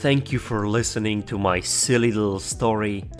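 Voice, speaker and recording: A man's voice: fairly low pitch, moderate pace, faint music behind. A man from Poland, reading a scripted, opinionated commentary with a wry, casual tone and some swearing. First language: Polish. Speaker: video essayist.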